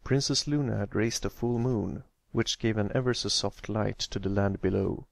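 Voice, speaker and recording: A man's voice: lowish voice, moderate pace, gravelly, no room sound, studio quality. A man reading a story aloud as an amateur narrator of fan fiction.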